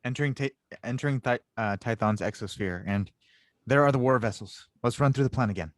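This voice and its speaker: A man's voice: medium pitch, brisk, no echo, clean studio sound, natural voice. A man playing a determined, earnest young engineer hero in an audio drama read. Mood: focused.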